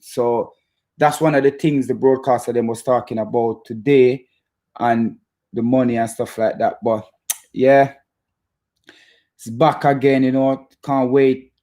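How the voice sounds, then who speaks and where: Jamaican man, halting casual football chat. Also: mid-range voice, darkish tone. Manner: repeats phrases, halting, stop-start delivery. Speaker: football fan channel host. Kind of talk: casual chat to live viewers about football.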